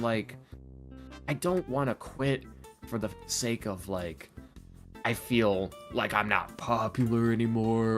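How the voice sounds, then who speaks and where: A man's voice: medium pitch, fairly bright tone. A man speaking casually and candidly as a podcast guest, earnest, confiding and self-deprecating. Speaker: podcast guest, ASMR roleplay audio creator. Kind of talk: casual, candid interview talk.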